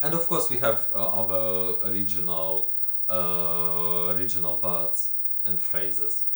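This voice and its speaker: A man's voice: low-pitched, slow pace, reverberant. A man from Volhynia with a Ukrainian accent, a language teacher talking casually to camera, hesitant with many fillers and restarts. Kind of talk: casual multilingual vlog.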